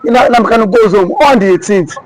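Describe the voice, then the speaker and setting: High-voiced male interviewer. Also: fast talker, a little hiss or hum, low-quality recording. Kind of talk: street interview questions.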